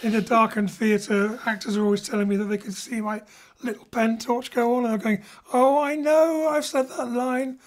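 A woman's voice: fairly high pitch, steady tone, moderate pace. A woman director hosting an informal post-show talk about an audio drama series. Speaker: director and host. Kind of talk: hosted post-show chat.

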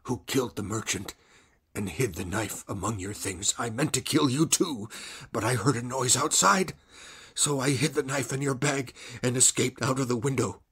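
A man voicing a guilt-stricken old male convict who begs in a high, strained voice. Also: moderate pace, a bit bright, slightly rough. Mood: confessing. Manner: pleading, cries out high and strained.

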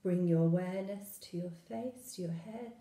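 A woman leading a guided meditation, speaking soothingly and gently in short phrases with long pauses. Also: lowish voice, echoey room.

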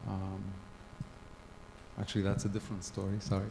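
Middle-aged man from Gaza, conference talk, low mellow voice, halting delivery, handheld mic in a big echoing hall. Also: completely flat delivery, gravelly, a little hiss or hum. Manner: halting, many ums and restarts. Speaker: conference speaker. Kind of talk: personal storytelling talk. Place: large hall with a stage. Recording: long hall reverb, faint ambience.

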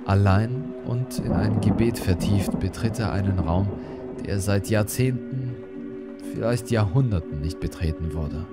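A man's voice: fairly low pitch, a little slow, loud music behind the voice. A man reading a scripted horror story as narrator, with dramatic delivery.

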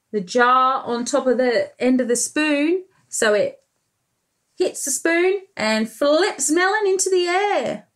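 An Australian-accented woman, a preschool teacher in her thirties, reading a picture book aloud expressively with questions and deliberate emphasis. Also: high voice. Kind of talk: picture-book read-aloud for young children. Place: small room indoors by a window.

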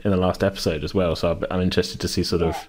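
Man, low voice, rambling casual talk, hesitant, often rephrasing, podcast host. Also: level tone, very fast, a little mellow. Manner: rambling, hesitant, self-correcting. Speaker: game master and podcast host. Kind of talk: casual chat about a tabletop game.

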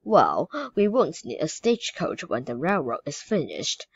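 A woman reading aloud, voicing a calm, kindly male railroad boss. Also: low voice, a little slow, gravelly, slightly rough, no room sound, muffled recording, exaggerated voice. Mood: steady.

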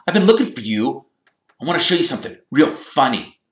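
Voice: medium pitch, sing-song, a little fast, reverberant, far from the mic, pitched above the performer's own voice, natural voice. A man voicing an arrogant schoolboy bully who drawls and sneers, in a storybook reading. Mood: sneering, scornful.

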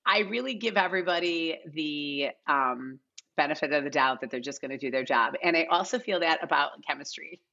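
A woman's voice: low voice, fairly quick, pushed and bright. A middle-aged woman chatting casually as a podcast guest, in a conversational way peppered with "like" and "you know". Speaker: guest, casting director. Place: large living room at home, indoors. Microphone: video call, no close mic seen. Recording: slightly dull video-call audio.

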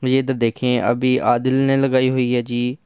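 Casting: male tech reviewer, very smooth clear voice, dry close sound, casual step-by-step explaining. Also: medium pitch, level tone, measured pace, a little mellow, dry recording. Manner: conversational, instructional, mixes in English terms. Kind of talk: casual hands-on phone app tutorial.

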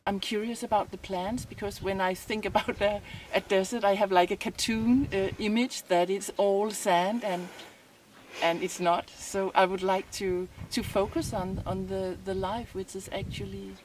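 A woman's voice: medium pitch, fairly even intonation, normal speed, a little mellow, recorded from a distance, slight background noise. A middle-aged woman from Denmark, a visual artist, speaking thoughtfully and searching for her words, with frequent restarts, recorded outdoors by a stone wall. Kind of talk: casual interview.

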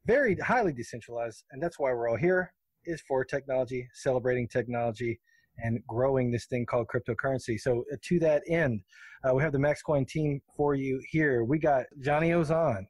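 Man, podcast host, mid-range pitch, upbeat and joking, unscripted. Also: a little fast, darkish tone, breathy edge, dry recording. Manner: jokey, chatty.

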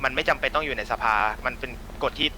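A man's voice: medium pitch, steady tone, fast talker, bright, forceful tone, slight background noise. A man chatting in a game roleplay in a colloquial way, often repeating himself as he explains. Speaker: gang boss player in a game roleplay.

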